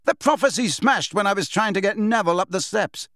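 A defiant young wizard boy, as a male narrator plays him in a storybook. His voice is raised and lively, shouting angrily and mocking his foe. The sound is dry and close. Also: high-pitched, brisk, no echo, voice pushed up. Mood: mocking, angry. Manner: shouting, taunting.

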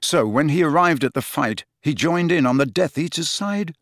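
A man's voice: a little high, lively intonation, normal speed, dry recording, studio quality, pitched above the performer's own voice. A man voicing a grim, bitter teenage boy in an audiobook. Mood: urgent questioning.

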